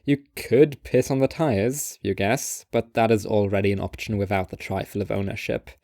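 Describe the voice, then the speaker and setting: Low-voiced man narrating, dry close sound. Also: steady tone, moderate pace, gravelly, a little mellow, no room sound. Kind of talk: scripted second-person story narration.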